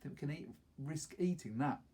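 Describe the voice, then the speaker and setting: Guy reading as a jumpy teen boy in a story, higher husky voice, worried questions. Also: expressive, moderate pace, warm tone. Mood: uneasy, curious.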